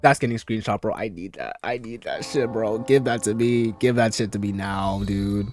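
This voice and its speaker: A man's voice: on the low side, normal speed, darkish tone. A man streaming a game and giving casual, slangy, gushing commentary peppered with swearing. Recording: game music behind the voice. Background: video game music and dialogue.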